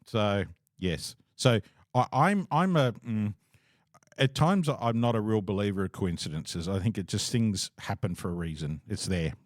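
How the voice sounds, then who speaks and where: Aussie guy hosting a chat podcast, lowish voice, rambles, laughs a lot, dry close sound. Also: lively intonation, measured pace, dry recording. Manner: rambling, laughs often, many fillers. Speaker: podcast host. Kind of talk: casual interview chat.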